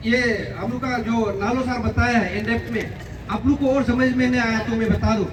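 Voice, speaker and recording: A male activist with an Arunachali accent delivers an earnest public address at a rally. His voice is strikingly high for a man. He presses his points and repeats phrases, heard at a distance through a slightly noisy recording. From Arunachal Pradesh, India. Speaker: activist speaker at a protest rally. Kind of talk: public address at a rally, Hindi mixed with English. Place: public rally venue, voice picked up from afar.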